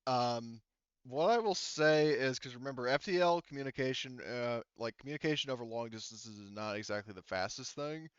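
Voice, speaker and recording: A man with a clear, smooth voice works as game master in a casual tabletop session. He rambles and thinks out loud, swearing casually. The recording is close and dry, slightly dull. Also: medium pitch, moderate pace, no echo.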